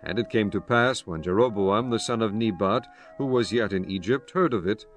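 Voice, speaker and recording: A man's voice: on the low side, normal speed. A man narrating a dramatized scripture reading in a solemn, formal storytelling manner. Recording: music bed behind the voice.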